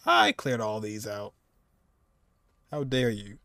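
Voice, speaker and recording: A man's voice: lowish voice, slow, bright, forceful tone. A man in his twenties giving casual, joking, slangy reaction commentary as he streams a video game. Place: indoors, streaming at a desk.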